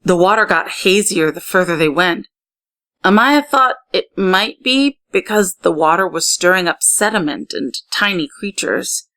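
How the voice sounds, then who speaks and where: A woman narrating a children's story in an expressive read-aloud style, putting emphasis on key words. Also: fairly low pitch, measured pace. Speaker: story reader.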